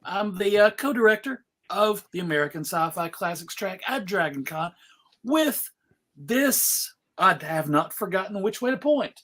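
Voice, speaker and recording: A man's voice: high-pitched, expressive, unhurried, pushed and bright. A man hosting a casual panel on a video call, with a playful, jokey manner. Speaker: panel host and convention track co-director. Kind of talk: casual unscripted panel introductions.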